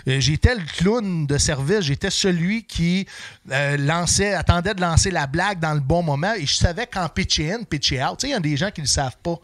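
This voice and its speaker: A man's voice: fairly high pitch, animated, a little fast, a bit bright, dry recording. A man, a comedian guest on a casual podcast, often repeating words as he searches for what to say. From Canada.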